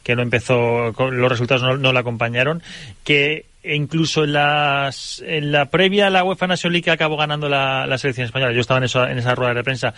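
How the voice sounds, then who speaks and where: A man, a sports journalist, giving a halting opinion on a radio panel. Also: medium pitch, steady tone, bright, forceful tone, no echo. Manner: halting, many fillers and restarts.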